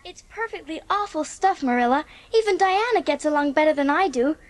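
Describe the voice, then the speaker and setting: A woman's voice: high voice, lively intonation, fairly quick. A woman playing an earnest, talkative eleven-year-old orphan girl with a grandiloquent, theatrical way of speaking in a dramatised audiobook. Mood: glum, reflective.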